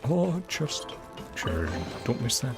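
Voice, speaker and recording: Very low-voiced woman gamer, gravelly. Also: very animated, slow. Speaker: gaming streamer. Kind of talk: casual let's-play commentary, reading on-screen text aloud. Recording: game music under the voice. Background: video game music.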